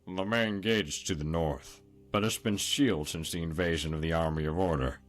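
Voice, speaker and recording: World-weary male guide for a fantasy game, played by an actor: extremely low register, matter-of-fact, grim. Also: steady tone, moderate pace, faint music behind, natural voice. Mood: grimly helpful. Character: world-weary local man giving grim warnings to a traveler. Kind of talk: video game dialogue.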